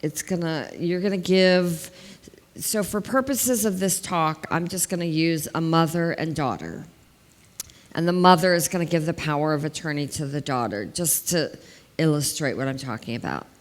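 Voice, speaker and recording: A woman's voice: low voice, moderate pace. A woman in her fifties with a big voice, an elder law attorney giving a plain-spoken community talk and explaining step by step with examples. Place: large hall, indoors. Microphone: headset mic. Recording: long hall reverb.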